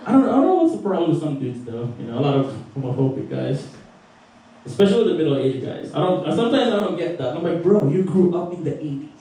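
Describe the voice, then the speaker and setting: A man's voice: on the high side, sing-song, quick pace, dark tone. A young man with a Filipino accent doing a profane stand-up set, laughing at his own jokes. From Philippines. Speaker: stand-up comedian. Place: small record store stage. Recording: roomy, distant live recording.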